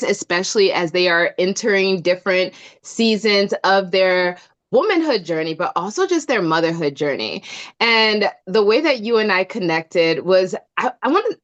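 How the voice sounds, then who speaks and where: A chatty woman podcast host gushes over her guest and laughs often. Her voice sits at a middling pitch. The recording sounds a bit dull and lo-fi. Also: a bit bright. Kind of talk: casual interview chat.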